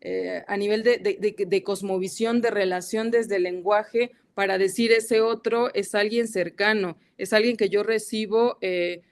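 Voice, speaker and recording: A woman's voice: medium pitch, steady tone, unhurried, few pauses. A woman with a Mexican accent giving an academic panel talk over a video call, hesitant and full of fillers and restarts. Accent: Mexican Spanish. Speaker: guest academic speaker.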